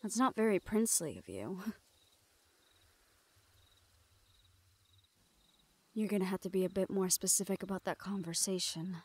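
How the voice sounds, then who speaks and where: A woman playing a guarded but tender young thief in an intimate roleplay audio drama, speaking in a hushed, hesitant way. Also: mid-range voice, expressive, fast, breathy edge. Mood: teasing.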